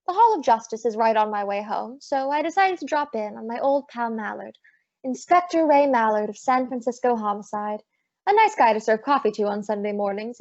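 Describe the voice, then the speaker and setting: Young woman playing high-voiced wisecracking lady private eye. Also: darkish tone, lightly acted. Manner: breezy, wry. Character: wisecracking, spirited female private detective. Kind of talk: old-time radio detective acting with narration. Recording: dull lo-fi audio, very dry.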